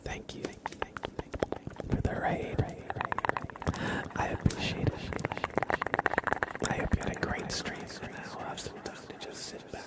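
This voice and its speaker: A man hosting an ASMR livestream in a soft, hushed whisper and low murmur, gently and unhurriedly talking to his viewers. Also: moderate pace, long pauses, low-quality recording. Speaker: livestream host.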